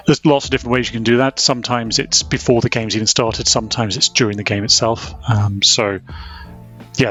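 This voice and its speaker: A man's voice: medium pitch, a bit bright. A man speaking on a discussion panel, talking in an easygoing way with lots of ums. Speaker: blogger and panelist. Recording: dull lo-fi mic, faint music.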